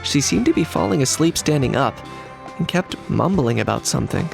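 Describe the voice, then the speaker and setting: A man's voice: on the high side, very animated, brisk, creaky, exaggerated voice. A man voicing a polite, unruffled young man with a dry manner in a video game. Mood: calm. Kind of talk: video game character acting. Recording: music behind the voice.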